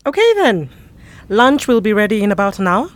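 An actress plays a Kenyan wife and mother in audio fiction, composed but firm. Her mid-pitched voice is recorded dry and close. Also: sing-song, a little fast, no room sound, lightly acted. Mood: pleasant.

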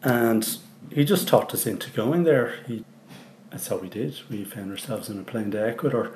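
A man's voice: on the low side, moderate pace, darkish tone, echoey room, slight background noise. A man in his forties with a Dublin Irish accent, looking back in a loose, reflective way with many ums and restarts. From Ireland. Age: 40s. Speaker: interviewee. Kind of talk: recorded personal testimony.

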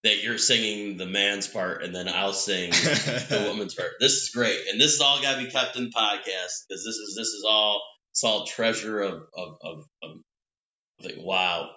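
A man's voice: on the low side, moderate pace, pushed and bright. A man co-hosting a podcast from his home patio room, rambling and joking in casual chat with frequent restarts. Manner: rambling, self-interrupting, playful. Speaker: podcast co-host. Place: three-season back patio room at home. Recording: echoey room, dull lo-fi sound.

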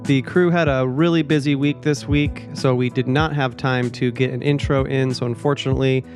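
A man's voice: medium pitch, fairly even intonation, normal speed, soft background music. A man co-hosting a podcast, introducing the episode hesitantly with many fillers. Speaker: podcast co-host.

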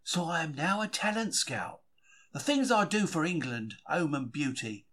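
High, lively Cockney diarist acted by a man. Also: moderate pace. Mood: chirpy, pleased. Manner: colloquial, self-regarding, plain-spoken, exclamatory. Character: quaint, chatty Cockney male bookseller diarist of the 1940s. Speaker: reader of a diary. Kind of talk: dramatised first-person diary reading.

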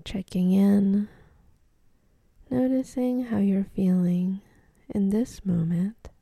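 A woman guides a meditation slowly and gently, leaving long pauses. Her voice is soft and breathy, often almost whispered, with some creak at the edges. The sound is close and dry, soothing throughout. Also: medium pitch, fairly even intonation, dry recording.

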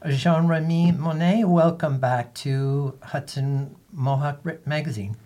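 A man's voice: a little high, fairly even intonation, takes it slowly, mellow. A man hosting a radio interview, reading introductions and putting questions to a guest.

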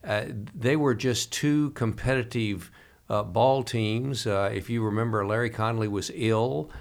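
A male interview host who asks questions in a halting, conversational way, with many fillers. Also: fairly low pitch, creaky.

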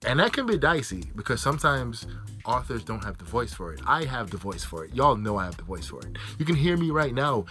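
A man's voice: medium pitch, sing-song, fairly quick, bright, forceful tone, faint music behind. A man, an author, answering interview questions in a casual, blunt way, often saying "like" and "right?" and swearing freely. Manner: conversational, frequent "like" and "right?", blunt and profane. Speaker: author guest.

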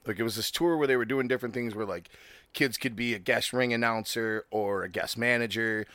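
A man's voice: mid-range voice, fairly quick, pushed and bright, dry recording. A man in his thirties hosting a podcast, chatting casually, swearing freely and laughing often. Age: 30s. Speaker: podcast host. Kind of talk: casual chat.

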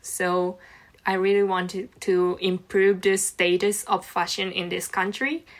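A young woman from Japan whose first language is Japanese, answering interview questions slowly and haltingly as she searches for words. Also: on the low side, steady tone. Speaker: master's student.

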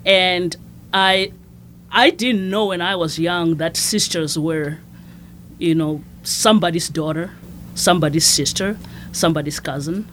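Soft-spoken woman from Zimbabwe, a nun. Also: on the low side, a little slow, a little hiss or hum. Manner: soft-spoken, hesitant with many fillers and restarts. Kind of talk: conversational interview.

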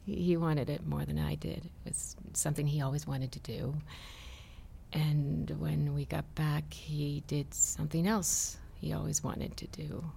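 A woman's voice: sits low, little pitch movement, measured pace, creaky, mellow, slight background noise, full character voice. An actress playing a dry, self-mocking middle-aged woman, wry and wounded, in a naturalistic audio drama. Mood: bitter, self-mocking.

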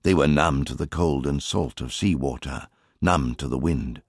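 A man narrating an audiobook in an expressive, scripted storytelling style. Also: very deep voice, normal speed, husky, no room sound, clean studio sound.

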